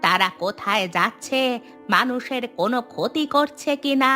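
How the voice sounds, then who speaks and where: A woman's voice: fairly high pitch, lively intonation, moderate pace, bright, forceful tone, faint music behind. A woman voicing a hardworking, homely elderly village mother in a cartoon, given to grumbling explanations. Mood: complaining. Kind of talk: Bengali cartoon story dialogue.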